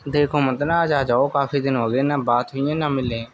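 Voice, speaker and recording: A man's voice: medium pitch, fairly even intonation, normal speed, natural voice. A man as a teasing boyfriend, noisy phone call. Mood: coaxing.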